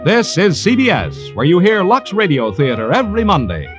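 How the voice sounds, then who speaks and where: A man's voice: high voice, huge pitch swings, moderate pace. An American man working as an announcer, reading scripted credits and promos in vintage 1940s radio style. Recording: old radio broadcast with music.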